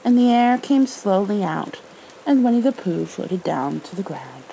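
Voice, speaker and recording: A woman reads a bedtime storybook in a gentle, motherly ASMR style. Her voice is mellow and slightly high, very unhurried, with creaky edges. Faint rain ambience sits behind her. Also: animated, slight background noise, low-quality recording. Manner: soothing, gentle, tender. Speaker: ASMR roleplay performer. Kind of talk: soft ASMR storybook reading in a caring motherly roleplay.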